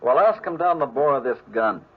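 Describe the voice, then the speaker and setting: An actor playing a threatening nightclub-owner gangster in a 1940s radio crime drama, curt and commanding. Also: male voice, mid-range voice, expressive, a little fast, breathy edge. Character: menacing, curt male nightclub-owning gangster with a gun. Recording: tinny, very noisy old radio recording.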